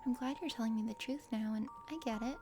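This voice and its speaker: A woman voicing a kind, earnest young aspiring knight in a breathy ASMR game reading. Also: a little high, fast, close to an everyday voice. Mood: forgiving. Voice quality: whispery, breathy. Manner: gentle, sincere. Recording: soft music behind.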